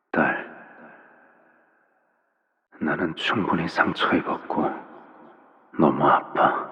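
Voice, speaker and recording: A man voicing a soft-spoken, menacing father figure of a crime syndicate in a video game, with gentle parental phrasing. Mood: mock hurt. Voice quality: very deep, low voice. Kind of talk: scripted video game voice acting. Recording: long reverb, dull muffled sound.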